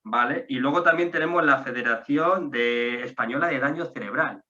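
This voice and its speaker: A man from a stroke association hosting a conversation, explaining resources in a friendly way and spelling out names slowly. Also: medium pitch, bright, forceful tone, highs cut off. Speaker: association staff member hosting the talk. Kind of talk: casual conversational presentation.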